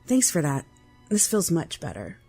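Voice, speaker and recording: Man voicing a soft, higher-pitched, courteous young prince. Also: wildly swooping pitch, moderate pace, husky, soft background music, full character voice. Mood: polite, reserved. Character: polite, reserved teenage boy prince.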